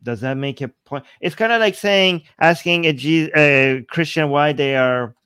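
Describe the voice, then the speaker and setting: A man hosting a casual panel discussion, sarcastic, mocking arguments with quick imitated quotes. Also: on the high side, fairly bright tone, smooth, no echo, studio quality. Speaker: host.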